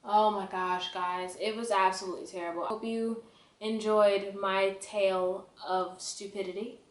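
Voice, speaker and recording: A woman's voice: medium pitch, steady tone, normal speed. A young woman telling a personal story in a casual vlog style, with a dramatic, emphatic, self-mocking delivery. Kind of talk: animated casual storytelling to camera. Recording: echoey, roomy sound.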